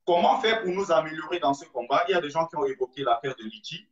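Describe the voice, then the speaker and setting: A man hosting a live discussion, speaking in a measured way and addressing his viewers directly. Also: a little high, lively intonation. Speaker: live stream host. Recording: roomy, dull live stream audio.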